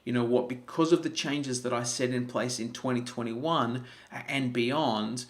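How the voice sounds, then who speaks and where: An earnest man talks through a motivational livestream. His voice sits at an ordinary mid pitch, and he often repeats words and restarts his sentences as he thinks aloud. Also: a little slow. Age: 40s. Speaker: health vlogger. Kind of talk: live-streamed motivational talk.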